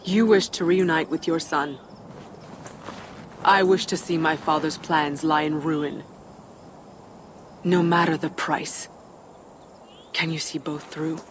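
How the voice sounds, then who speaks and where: A woman playing a proud, defiant giantess, a tyrant's daughter, measured and wry in a video game cutscene. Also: deep voice, fairly even intonation, a little hiss or hum. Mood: cool resolve.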